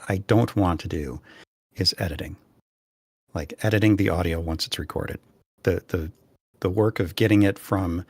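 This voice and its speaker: A man speaking as a podcast panelist on an online call, casual but deliberate, pausing between phrases. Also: low-pitched, no room sound, clean studio sound. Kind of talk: measured casual chat. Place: online voice call, dry recording.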